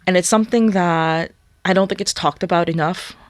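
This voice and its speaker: A young woman whose first language is Korean, speaking thoughtfully in a studio interview and often pausing to search for words. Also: on the low side, dry recording. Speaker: guest musician. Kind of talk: reflective interview talk.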